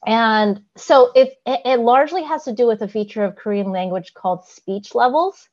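A woman's voice: fairly high pitch, normal speed, highs cut off. A woman co-hosting a podcast, explaining things carefully in casual chat, with frequent "um"s and a giggly manner. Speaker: podcast co-host.

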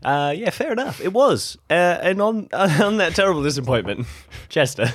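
Man chatting casually, very animated pitch. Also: moderate pace, husky, no room sound, studio quality. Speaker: podcast co-host and improv voice actor. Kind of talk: casual unscripted group chat.

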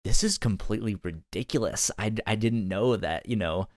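Male podcast co-host, low register, dry close sound, casual and halting review talk with repeated words. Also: darkish tone, dry recording.

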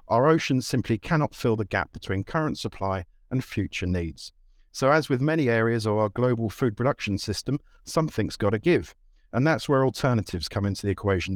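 Male panel moderator, lower voice, dry close mic, measured delivery. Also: dry recording. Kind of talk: scripted introduction then moderating.

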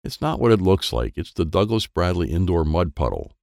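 A man's voice: low voice, big pitch swings, brisk, gravelly, a little mellow, no echo, studio quality, full character voice. A man voicing a proud, impatient inventor uncle in a children's storybook, showy and instructing. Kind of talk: children's storybook character dialogue.

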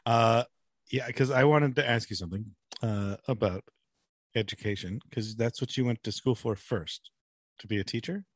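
A man's voice: mid-range voice, normal speed, no room sound. A man hosting a casual interview, joking easily and asking loose, rambling questions. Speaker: podcast host.

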